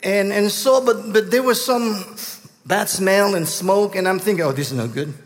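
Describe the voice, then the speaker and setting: A middle-aged man from Guatemala with a Guatemalan Spanish accent, telling a story as a guest preacher, halting and full of restarts. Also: high voice, big-room sound. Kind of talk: storytelling sermon.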